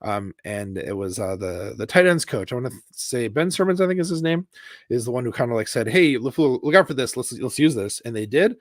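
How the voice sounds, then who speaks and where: A man's voice: medium pitch, lively intonation, a little fast, dry recording, studio quality. Rambling man talking football. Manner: rambling, many fillers and restarts, enthusiastic. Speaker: podcast co-host. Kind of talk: casual sports chat.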